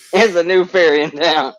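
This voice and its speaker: A laid-back man who laughs often and talks in loose slang, on a livestream panel. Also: on the high side. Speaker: panel guest. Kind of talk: casual livestream chat. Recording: muffled lo-fi call audio.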